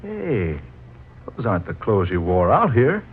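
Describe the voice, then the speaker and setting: An old, muffled radio recording carries a practical man with a deep, lively voice. He talks plainly and informally, the dependable friend of a 1940s drama. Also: a little slow, breathy edge, heavily acted. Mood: casual. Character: plain-spoken, helpful male friend, solid and practical.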